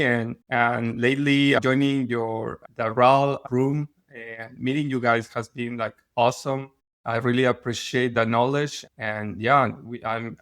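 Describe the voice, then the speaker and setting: Halting man from Peru, dry close sound. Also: mid-range voice, a bit bright, no echo. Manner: halting, with restarts and non-native phrasing. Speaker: guest physician. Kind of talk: casual podcast interview.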